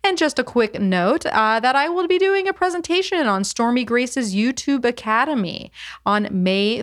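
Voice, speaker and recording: A woman's voice: fairly high pitch, fairly bright tone, no echo, studio quality. Upbeat chatty woman podcast host. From California. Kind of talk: podcast interview.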